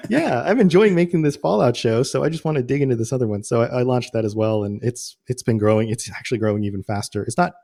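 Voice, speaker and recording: A man's voice: medium pitch, quick pace, vocal fry, few pauses, warm tone. A man hosting a podcast, explaining video game lore in a casual, conversational way. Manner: conversational, explanatory, quotes imagined reactions. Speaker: podcast host.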